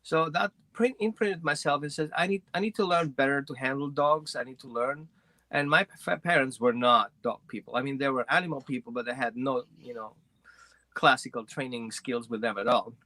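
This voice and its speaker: Guy from greece telling his story, bright voice, kinda halting, dry close sound. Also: medium pitch, dry recording, low-quality recording. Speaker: guest, dog trainer. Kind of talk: personal storytelling in an interview.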